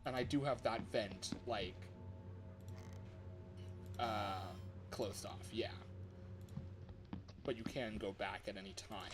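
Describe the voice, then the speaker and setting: A man giving live, excitable gaming commentary as he plays, thinking aloud as he goes. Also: lowish voice, slow pace, long pauses, over music. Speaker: livestreamer playing a fangame.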